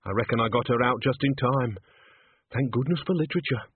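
A man's voice: medium pitch, brisk, husky, no echo, phone-quality sound, natural voice. A man voicing an easygoing, food-loving old witch woman in a storybook, with folksy rustic speech. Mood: wry.

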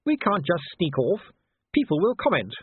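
A man's voice: high voice, wildly swooping pitch, normal speed, husky, no echo, phone-quality sound, voice pushed up, exaggerated voice. A man voicing a genteel, proper married woman, politely firm and ladylike, in an audiobook. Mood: firm, urgent. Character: genteel, proper wife of an ambassador, gently insistent. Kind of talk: audiobook character voice.

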